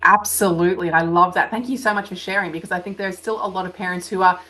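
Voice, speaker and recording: A woman's voice: lowish voice, fairly even intonation, fast, pushed and bright, low-quality recording. A woman hosting a podcast interview, affirming and encouraging her guest. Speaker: podcast host.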